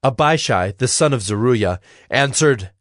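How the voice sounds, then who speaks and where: A man's voice: medium pitch, lively intonation, measured pace, no echo, studio quality. A man narrating scripture in a scripted, dramatic audiobook style. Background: music.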